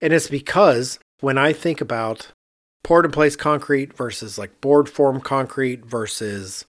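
A man's voice: medium pitch, a little slow, no echo, studio quality. A man with an American accent co-hosting a casual discussion, explaining things and thinking aloud as he goes. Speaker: podcast co-host and architect. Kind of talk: casual conversational discussion of architecture.

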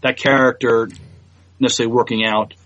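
A man's voice: mid-range voice, flat delivery, a little fast, a bit bright, lo-fi sound. A man co-hosting a podcast, chatting casually about entertainment news and often thinking aloud in a hesitant way. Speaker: podcast co-host.

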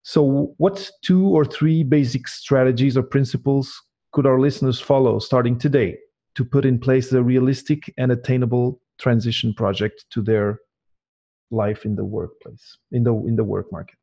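A man interviewing a guest, halting and repeating words as he asks his questions. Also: medium pitch, steady tone, vocal fry, warm tone.

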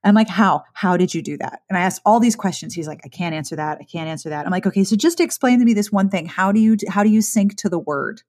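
A woman's voice: medium pitch, fast, hardly any pauses, a little mellow. A woman hosting a podcast interview in a talkative, thoughtful, casual way. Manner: thoughtful, talkative, frequent restarts. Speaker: podcast host.

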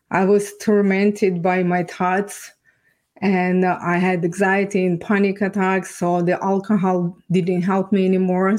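Even-toned woman with a Ukrainian accent. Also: lowish voice. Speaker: podcast guest. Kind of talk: personal testimony storytelling.

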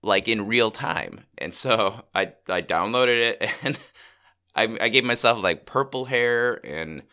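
A man's voice: lowish voice, expressive, slow, pushed and bright. A middle-aged man co-hosting a podcast while he has a cold, chatting casually with fillers and restarts. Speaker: co-host. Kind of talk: casual chat.

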